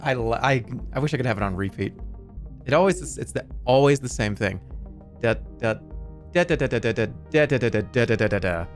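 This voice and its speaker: A man's voice: mid-range voice, animated, brisk, soft background music. A man in his thirties streaming a game, chatting casually in a rambling, sarcastic way and swearing freely.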